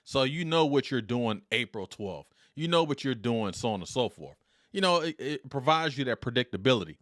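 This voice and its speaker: A middle-aged man hosting his own podcast solo, talking in a loud, confident, upbeat and deliberate way into a dynamic studio mic. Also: mid-range voice, animated, no echo. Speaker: podcast host.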